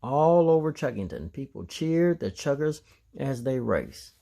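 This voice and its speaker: A man's voice: medium pitch, very animated, a little slow, vocal fry, mellow. A man reading a children's story aloud as narrator. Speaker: storybook reader.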